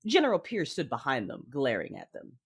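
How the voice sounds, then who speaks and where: Woman acting a husky, ruthless Sith mother. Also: very deep voice, wildly swooping pitch, full character voice. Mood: cold, menacing. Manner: measured, menacing, controlled. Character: cold, ruthless Sith mother, commanding and calculating narrator. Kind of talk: first-person audio drama narration with dialogue. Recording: music under the voice.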